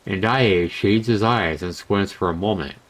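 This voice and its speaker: Low-voiced man doing casual game commentary. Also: a little slow, fairly bright tone. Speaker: game reviewer and streamer. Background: game music.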